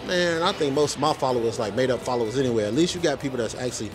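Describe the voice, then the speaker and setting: A man's voice: mid-range voice, expressive, rapid-fire. A man hosting a vlog, chatting casually in a laid-back, joking, slangy way. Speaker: vlog host. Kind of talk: casual chat.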